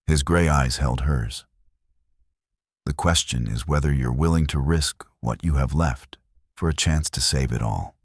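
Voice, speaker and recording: A man's voice: a bass voice, unhurried, slightly rough, dry recording, clean studio sound. A man narrating a scripted Western romance story in the manner of an audiobook.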